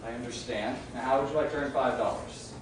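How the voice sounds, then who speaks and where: A man's voice: medium pitch, steady tone, a little fast, lightly acted. A man on stage playing a bossy, blustering older merchant in an emphatic theatrical style. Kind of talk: theatrical stage comedy acting. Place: theater stage, recorded from a distance. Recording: very echoey, noisy hall from far off.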